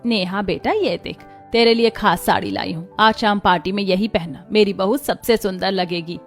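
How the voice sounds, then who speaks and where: A woman's voice: mid-range voice, normal speed, faint music behind, natural voice. A woman voicing a kindly, affectionate middle-aged mother-in-law in a cartoon. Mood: cheerful. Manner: affectionate, reassuring.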